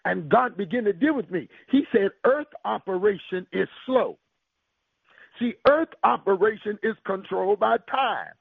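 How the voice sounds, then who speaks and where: A man's voice: sits high, expressive, normal speed, vocal fry, slightly rough, no echo. A man preaching a sermon with emphatic call-and-response and scripture quotations. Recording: tinny phone-like radio broadcast.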